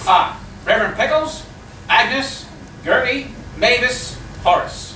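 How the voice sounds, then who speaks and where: A man's voice: extremely high pitch, lively intonation, slow pace, pushed and bright, exaggerated voice. A man playing a proud, ceremonious church committee member in an amateur radio comedy. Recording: roomy, distant live recording, faint noise.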